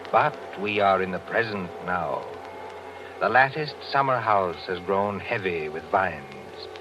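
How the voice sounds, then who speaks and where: A man narrating a mystery in a measured, ominous manner, in scripted 1940s radio narration on a muffled old broadcast. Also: sits low, noisy background. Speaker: narrator of the anthology.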